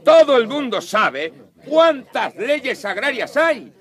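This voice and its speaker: Man acting an indignant, high-pitched, forceful courtroom lawyer. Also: big pitch swings, slow pace, full character voice. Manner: declamatory, protesting with rhetorical questions. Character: indignant male lawyer objecting loudly in a courtroom. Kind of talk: radio drama acting.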